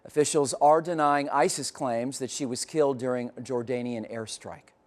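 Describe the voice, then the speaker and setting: A man's voice: mid-range voice, steady tone, normal speed, darkish tone. A man with an American accent reading scripted news as an anchor.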